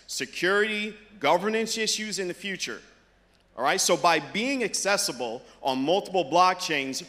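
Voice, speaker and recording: A middle-aged man with a high voice delivers an upbeat keynote into a handheld mic on a big stage. His points are punctuated with "all right," and the sound carries a long hall reverb. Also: bright, forceful tone. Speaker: conference keynote speaker. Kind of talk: presenting a tech keynote. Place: large conference stage. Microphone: handheld microphone.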